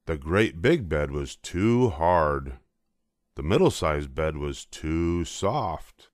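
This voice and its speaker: Older guy reading a kids story, really deep voice, dry recording. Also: very animated, slow pace, no room sound. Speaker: storybook reader. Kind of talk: scripted storybook narration for children.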